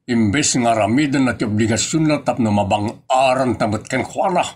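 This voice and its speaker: A man's voice: mid-range voice, moderate pace, a little mellow, lightly acted. A man playing a stingy, grumbling old husband with an Ilocano accent in a comedy audio drama. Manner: complaining, exclamatory.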